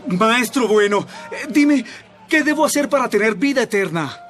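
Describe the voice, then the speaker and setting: An eager rich seeker in an audio Bible drama. His voice sits high, earnest and pleading, with an excitable delivery. Quiet music runs underneath. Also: normal speed. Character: eager, earnest rich man seeking guidance.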